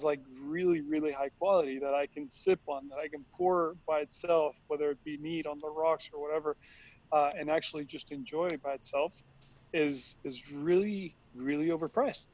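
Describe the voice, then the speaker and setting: Halting Costa Rican-born young man. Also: a little high, darkish tone, no echo. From Costa Rica. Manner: halting, many restarts and repeated words. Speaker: tequila company founder. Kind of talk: casual interview answers. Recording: dry, slightly dull sound.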